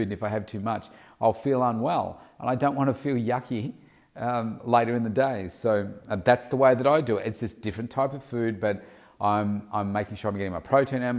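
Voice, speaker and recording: A man with a somewhat low voice and a snuffly, blocked-nose sound from hay fever. He talks as a personal fitness coach, laying out plans step by step in an easy, conversational way. He fills his pauses with ums and uhs. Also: a little mellow. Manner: conversational, instructive, talks in steps with frequent ums. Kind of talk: casual advice monologue.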